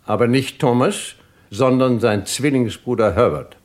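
A man's voice: medium pitch, measured pace, darkish tone, lightly acted. A man playing a courteous Scotland Yard sergeant who questions politely but insistently in a 1970s German radio crime drama. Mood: probing.

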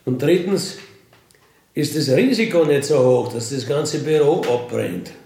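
A middle-aged man with a Bavarian accent tells first-person anecdotes casually, rambling along with colloquial tag questions and throat clearing. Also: medium pitch, expressive, unhurried, a little mellow, slight background noise. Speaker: storyteller, self-described burglar.

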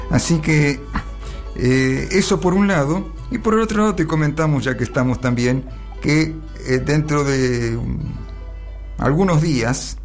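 Argentine guy hosting a radio show, chatty and rambly, mid pitch, bit of music behind. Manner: chatty, digressive, halting with many asides. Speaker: radio host. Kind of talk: casual radio monologue, introducing songs.

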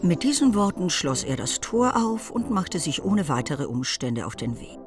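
A woman's voice: fairly low pitch, sing-song, normal speed. A woman reading scripted literary narration as the narrator of a radio play. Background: music.

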